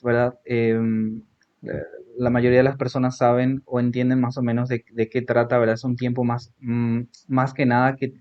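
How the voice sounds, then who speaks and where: A man's voice: mid-range voice, darkish tone. A man with a Paraguayan accent hosting a casual podcast interview, talking with many fillers and tag questions. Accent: Paraguayan Spanish. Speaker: podcast host. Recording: dull lo-fi audio.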